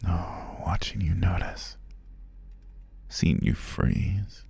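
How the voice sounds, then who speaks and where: A man playing a menacing, obsessive captor in an ASMR roleplay, savoring his phrases in a breathy, husky whisper with a gravelly murmur. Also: low voice, steady tone, a little mellow, heavily acted. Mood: gloating, savoring. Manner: slow, savoring, drawn-out phrases with pauses. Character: menacing obsessive male captor, gloating and possessive. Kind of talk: ASMR roleplay, intimate scripted monologue.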